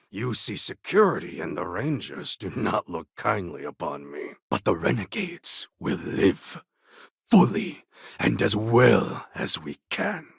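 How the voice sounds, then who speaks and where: A man playing a proud, fierce warlord in a video game, speaking in a grandiose, commanding way with a husky, gravelly voice. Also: lowish voice, fairly bright tone, dry recording, studio quality, heavily acted. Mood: solemn, resolute. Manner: grandiose, commanding, formal phrasing. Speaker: video game character voice actor. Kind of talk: dramatic video game character dialogue.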